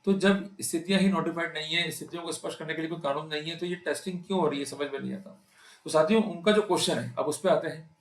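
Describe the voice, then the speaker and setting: A man's voice: fairly high pitch, quick pace. A man with an Indian accent giving an explanatory law lecture, speaking emphatically and addressing his listeners directly. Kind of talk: explanatory law lecture to camera, Hindi mixed with English. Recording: echoey, reverberant room.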